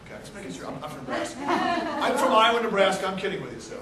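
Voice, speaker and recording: A man's voice: sits high, very animated, fast. A man from Texas giving a casual, joking talk to a crowd as an aquarium chief, often asking the audience questions. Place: large indoor room with an audience. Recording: echoey hall, mic from far off.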